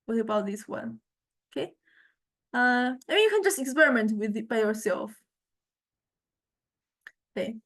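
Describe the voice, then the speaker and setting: A woman giving an informal software demonstration as a lecturer, thinking aloud in a halting, self-correcting way. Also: fairly high pitch, lively intonation. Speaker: music technology lecturer.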